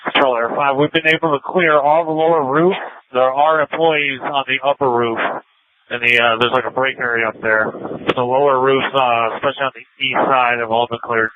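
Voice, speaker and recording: A man at an ordinary pitch reporting over a thin, band-limited police radio. He pauses with fillers as he describes the situation. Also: steady tone, narrow, tinny audio. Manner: hesitant, with fillers. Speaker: police air unit officer.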